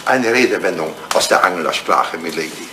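Formal elderly butler, lively intonation. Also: male voice, sits low, moderate pace. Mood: deferential, dry. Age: older. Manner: formal, deferential, dryly understated. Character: elderly, devoted English butler, discreet, dryly gossiping. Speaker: actor in a radio play. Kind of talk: 1980s German radio drama acting. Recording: roomy, noisy old radio play recording.

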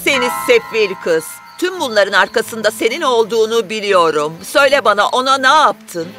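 Sharp, scornful wicked stepmother. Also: fairly high pitch, animated, normal speed, faint music behind. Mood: angry, accusing. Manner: scolding, accusing. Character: cruel, scornful stepmother with a fierce temper. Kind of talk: animated fairy tale dubbing.

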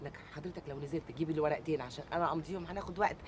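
An actress with an Egyptian Arabic accent playing a polite, gracious actress fending off a fan. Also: female voice, low-pitched, very fast, background noise, natural voice. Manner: polite, gracious, laughing off praise. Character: polite busy actress, woman.

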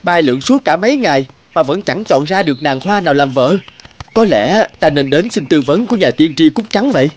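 A man voicing a vain, picky male butterfly in a cartoon, boastful and pompous with theatrical flattery. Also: a little high, a little fast, dull audio, slight background noise, lightly acted. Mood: smug musing. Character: vain, picky male butterfly bachelor seeking a flower bride. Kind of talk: cartoon character acting.

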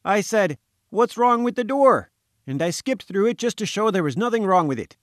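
A man's voice: sits high, very animated, normal speed, no echo, studio quality, pitched above the performer's own voice. A man voicing an indignant fat little newspaper reporter in a storybook, bleating and sputtering in protest. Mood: rueful, protesting.

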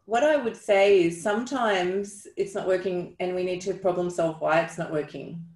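A middle-aged woman answering questions informally on a video call, halting and conversational, in a roomy, echoey space. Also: fairly low pitch, a little mellow. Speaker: clinician leading a question session. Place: room with brick wall, indoors. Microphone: video call on a computer.

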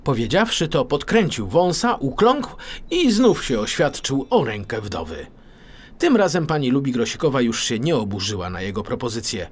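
A man narrating a children's audiobook in an expressive, scripted storytelling style. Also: medium pitch, normal speed, husky.

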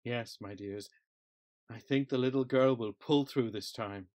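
A man playing a calm, matter-of-fact family doctor in an audio drama. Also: mid-range voice, measured pace, dry recording, close to an everyday voice.

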